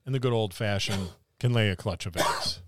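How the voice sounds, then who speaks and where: A man's voice: lowish voice, moderate pace, fairly bright tone. A man co-hosting a podcast, chatting casually about tabletop gaming in a joking, musing way. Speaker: podcast co-host.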